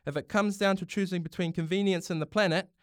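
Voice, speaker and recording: A man's voice: high-pitched, steady tone, fast talker, smooth, no room sound, clean studio sound, voice pushed up, close to an everyday voice. A man voicing a woman prime minister giving a rousing speech in a storybook reading. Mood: passionate.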